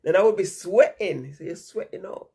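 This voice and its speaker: A woman vlogger with a cold talks to her viewers in a rambling, chatty way and keeps repeating herself. Her voice is husky and gravelly, and her nose sounds stuffy. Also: fairly low pitch, animated, normal speed, warm tone. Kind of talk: casual chat to viewers.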